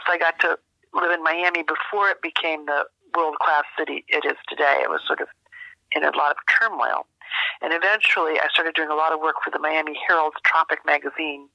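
Older female interview guest, very bright and gravelly, thoughtful, halting delivery, dull phone-like recording. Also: low voice, fairly even intonation, no room sound. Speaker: interview guest, journalist and author. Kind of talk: reflective interview conversation.

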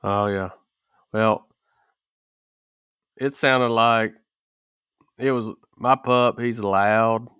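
A man's voice: on the low side, fairly even intonation, very slow, long pauses, fairly bright tone, clean tone, dry recording, studio quality. A man in a casual podcast chat, telling stories with folksy, rural phrasing. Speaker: podcast co-host.

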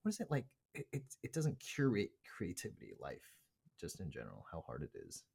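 A man born in Korea co-hosts a podcast in loose, rambling chat. His voice sits very low, yet it rises and falls widely. He keeps restarting his sentences with "you know" and "um". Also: huge pitch swings, brisk, vocal fry, darkish tone, husky. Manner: rambling, many fillers and restarts. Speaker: podcast co-host. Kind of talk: casual chat.

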